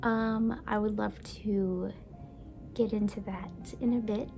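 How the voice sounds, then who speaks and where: A young woman from Brazil whose first language is Portuguese, chatting gently in a casual book review vlog and sometimes dropping to a soft whisper. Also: mid-range voice, steady tone, slow pace, creaky. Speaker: book vlogger. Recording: music playing behind the voice.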